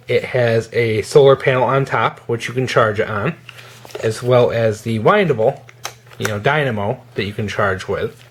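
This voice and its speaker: A man giving a casual, hands-on product demonstration in an informal, halting way as a gear reviewer. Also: lowish voice, husky.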